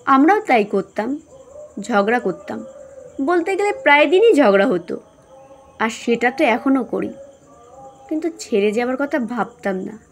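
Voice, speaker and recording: A woman voicing a patient middle-aged mother in an audio story, soothing and gently chiding. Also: a little high, animated, measured pace, pauses often, slight background noise. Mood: chiding, advising. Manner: soothing, chiding, homespun advice.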